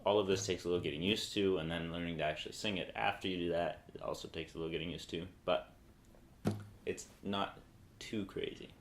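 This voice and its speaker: A young man in his twenties casually teaching a song on guitar, rambling and thinking aloud as he goes, seated in a small bright room and recorded by a camera a few feet away. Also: sits low, brisk, noisy background. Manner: relaxed, rambling, thinks aloud mid-sentence. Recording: echoey room with acoustic guitar.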